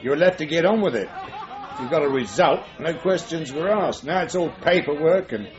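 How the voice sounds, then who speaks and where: A man's voice: fairly high pitch, lively intonation, normal speed, close to an everyday voice. A man playing a middle-aged, cynical, wisecracking chief inspector in a naturalistic radio drama, with a blunt, bantering manner. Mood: weary grumbling. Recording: dull 1980s radio drama recording, music.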